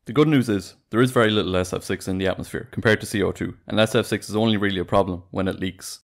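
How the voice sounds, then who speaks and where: Deep, quick-talking male explainer narrator. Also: gravelly. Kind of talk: scripted educational narration. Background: background music.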